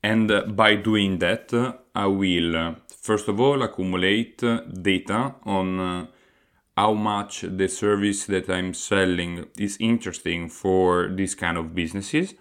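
Solo podcast host, Italian man with an Italian accent, lower-pitched, sincere and hesitant delivery. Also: fairly even intonation, measured pace, fairly bright tone. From Italy. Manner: earnest, explanatory, with frequent hesitant fillers.

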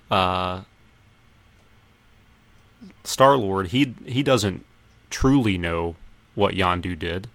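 A man's voice: lowish voice, creaky, a bit bright, dry recording. A man hosting a casual film discussion with friends, thinking aloud in a tentative way. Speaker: podcast host.

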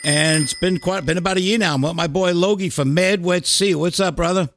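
A middle-aged man hosting a casual chat, talking in an animated, slangy, sweary way. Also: sits high, a little fast, slight background noise. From New York and New Jersey, United States. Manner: animated, profane, slangy storytelling. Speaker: podcast host.

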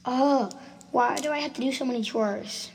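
An earnest schoolboy in a homemade radio drama acted with a high female-sounding voice. The voice is fairly high and insistent, over a noisy, clicking home recording. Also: moderate pace. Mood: sulky, worried. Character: Timmy, earnest schoolboy who insists on what he saw. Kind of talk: amateur radio drama acting.

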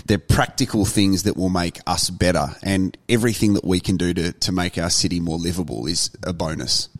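A man's voice: low-pitched, a little fast. A man co-hosting a podcast, talking in an enthusiastic, conversational way that rambles slightly. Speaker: podcast co-host. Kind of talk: casual podcast chat.

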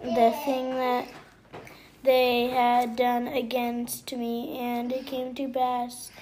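A child reading scripture aloud haltingly, pausing mid-phrase and repeating words. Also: medium pitch, monotone, a little mellow. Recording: noisy home recording.

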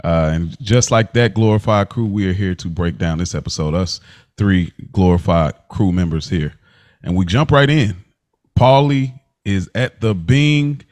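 A man's voice: low voice, measured pace, darkish tone, dry recording. A man hosting a casual recap podcast, talking in colloquial, slangy phrasing. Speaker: podcast host.

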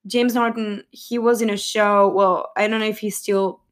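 A woman's voice: mid-range voice, little pitch movement, normal speed. A young woman vlogging casually about a film's cast, rambling and giggly, with lots of fillers and self-corrections. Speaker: film vlogger.

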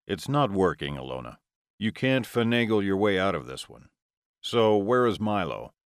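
A man's voice: lowish voice, big pitch swings, moderate pace, clear, resonant tone, dry recording, clean studio sound. A man voicing a nonchalant, unflappable male vampire in an audiobook, curt and threatening. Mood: stern.

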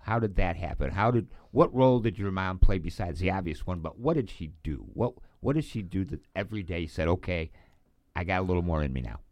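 A man's voice: low-pitched, animated, brisk, a little mellow, no room sound. A man hosting an interview, asking questions and encouraging his guests with frequent short affirmations. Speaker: host. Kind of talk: interview chat.

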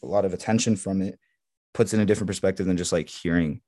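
A young man born in Egypt, native in Arabic, speaking with an American accent as a podcast guest in relaxed, filler-heavy chat. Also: low-pitched, quick pace, no echo, clean studio sound. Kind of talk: casual conversation.

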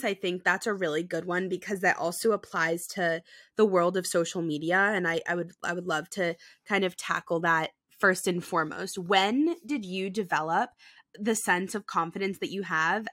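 A woman's voice: on the low side, normal speed, a bit bright, no room sound, studio quality. A woman hosting a podcast, talking in a chatty, upbeat way with lots of fillers. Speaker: podcast host. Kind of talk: casual podcast chat.

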